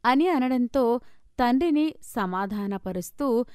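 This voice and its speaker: A woman's voice: a little high, lively intonation, takes it slowly, a little mellow, clear, resonant tone, no echo, clean studio sound. A woman narrating a scripted moral story as its storyteller.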